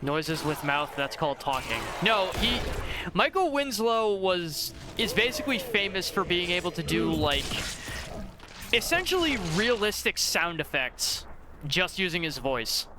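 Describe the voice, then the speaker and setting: A man in his thirties streaming a game, chatting casually with a friend in an animated, rambling way, laughing often and swearing. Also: sits high, a little slow, over music. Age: 30s. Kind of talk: casual gaming stream chat.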